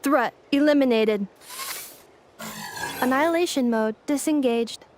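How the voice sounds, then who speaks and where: A woman's voice: high-pitched, a little slow, slight background noise. A woman voicing a young female combat robot in a video game, making clipped, formal announcements. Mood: flat, resolute. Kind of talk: animated video game acting.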